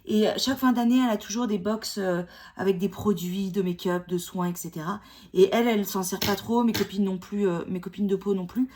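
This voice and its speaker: A woman's voice: medium pitch, a little fast, darkish tone, a little hiss or hum. A woman in her forties with a cold, chatting casually and joking about herself while she does her makeup at home. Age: 40s. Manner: chatty, self-mocking, many fillers and restarts. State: has a cold. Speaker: beauty vlogger. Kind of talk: casual makeup tutorial chat.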